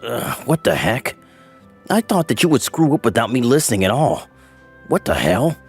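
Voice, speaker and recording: Man acting a spiteful male coworker, flustered whine, pitch jumping about, cartoonish manga dub, music behind. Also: sing-song, moderate pace, breathy edge. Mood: bitter. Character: scheming, resentful male office rival, whiny and flustered when caught.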